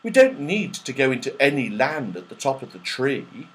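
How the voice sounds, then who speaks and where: A man's voice: medium pitch, sing-song, moderate pace, fairly bright tone, low-quality recording. A man voicing an eager, sensible young boy in a children's story, decisive and upbeat.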